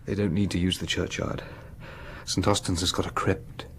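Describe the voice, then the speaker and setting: A man's voice: sits low, level tone, moderate pace, a bit bright, slight background noise. An actor playing an earnest, troubled English clergyman in a radio drama, with an urgent, conscientious manner. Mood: grave.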